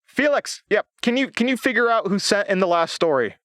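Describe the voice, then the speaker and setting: Haunted, stammering young American radio host. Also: male voice, high-pitched, animated, a bit bright, no echo, clean studio sound. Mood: weary, pleading. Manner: halting, stammering, conversational. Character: haunted young radio host recounting his horrifying past, nervous, rambling. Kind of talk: naturalistic horror audio drama monologue.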